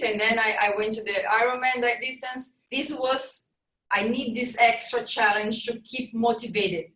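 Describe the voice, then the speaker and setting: Woman, Brazilian Portuguese accent, fairly high voice, roomy echo, distant from mic, halting restarts. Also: a bit bright. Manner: halting restarts, earnest coaching tone. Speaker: fitness trainer, guest. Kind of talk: casual video call interview.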